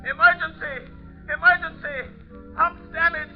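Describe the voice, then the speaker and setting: An urgent high-pitched navy commander. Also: male voice, fairly even intonation, unhurried. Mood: urgent distress. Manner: urgent, clipped military delivery. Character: alarmed male naval commander raising distress calls. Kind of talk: 1930s radio drama acting. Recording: dull old radio recording, loud music bed.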